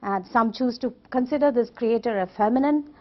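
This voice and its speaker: A woman's voice: on the high side, a little fast. A woman explaining things articulately in an interview. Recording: slightly muffled interview audio.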